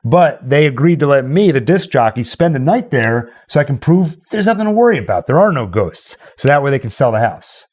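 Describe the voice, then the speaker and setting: A man's voice: mid-range voice, very animated, a little fast, hardly any pauses, a little mellow. A man hosting in a casual, chatty style, explaining things to his friends as he goes. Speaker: host and narrator of radio play.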